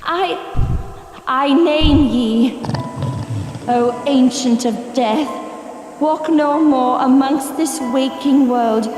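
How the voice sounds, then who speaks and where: A resolute, earnest young heroine speaks in a slightly high, theatrical voice. She sounds like the burdened lead of a horror audio drama, ringing with reverb over clear music. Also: very slow, long pauses, a little mellow. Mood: defiant incantation. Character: young woman burdened with banishing evil, frightened yet resolute.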